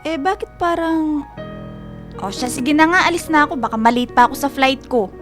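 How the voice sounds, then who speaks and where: A concerned best friend who always sounds about to leave. The voice is high, in radio drama style, with faint music underneath. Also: female voice, normal speed, fairly bright tone. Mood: breezy, hurried. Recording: music bed behind the voice.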